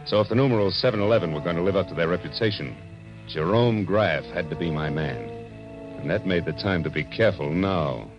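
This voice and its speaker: A man's voice: deep voice, a little fast. An American man playing a hard-boiled, sardonic private detective in a 1940s radio noir drama, with terse, wisecracking delivery. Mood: wry narration. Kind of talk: 1940s radio noir acting and first-person narration. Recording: muffled vintage radio recording with music.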